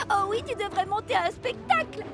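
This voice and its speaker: Casting: sensible lady train carriage, extremely high expressive cartoon voice, music behind. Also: unhurried, heavily acted. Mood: delighted. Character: gentle, sensible female railway carriage. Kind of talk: animated children's series dub.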